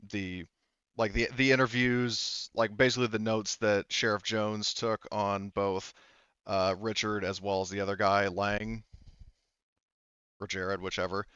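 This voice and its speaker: Low, smooth-voiced man running a tabletop game. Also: fairly bright tone, no room sound, lo-fi sound. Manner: halting, circles back and rephrases. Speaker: game master. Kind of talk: improvised tabletop game narration, casual.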